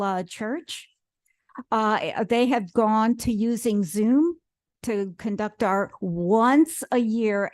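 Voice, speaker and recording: A woman testifies before a committee in a measured, formal manner, laying out details point by point with small hesitations. Her mid-range voice sounds dry and close at a podium microphone. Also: mid-range voice, darkish tone, dry recording. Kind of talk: public testimony. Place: legislative hearing room.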